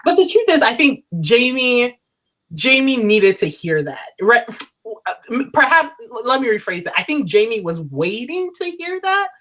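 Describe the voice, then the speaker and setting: Woman, casual TV review chat, lively intonation, emphatic, restates points. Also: on the high side, a little slow. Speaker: co-host of a TV review show.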